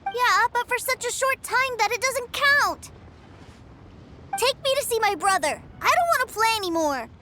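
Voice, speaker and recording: A woman's voice: very high voice, lively intonation, normal speed, background noise. A woman voicing an innocent, adoring little boy in a video game, eager and a bit wistful. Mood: wistful, pleading. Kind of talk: animated video game dialogue.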